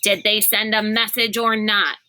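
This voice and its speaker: A woman's voice: mid-range voice, level tone, a little slow, sharp tone. A woman voicing a cranky, stubborn little girl who grumbles and snarls in a storybook read-aloud.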